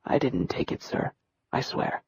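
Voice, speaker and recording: A woman voicing a terrified, beaten young male hybrid servant who speaks in a quivering, breathy hush. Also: measured pace, no room sound, lo-fi sound, close to an everyday voice. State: in pain and panic.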